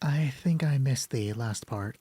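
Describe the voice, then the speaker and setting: Defensive wife and career woman, read by a man, curt and guarded, husky, dry sound, audio drama. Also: medium pitch, a little slow, dark tone, dry recording. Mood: distracted, subdued.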